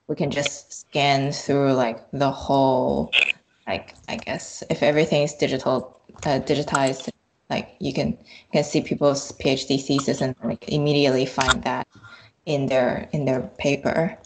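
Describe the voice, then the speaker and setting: Low-voiced woman on noisy call. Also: level tone. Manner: tentative, halting, frequent "like". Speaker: podcast panel guest. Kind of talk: casual discussion. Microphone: internet call. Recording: clearly noisy call audio with clicks.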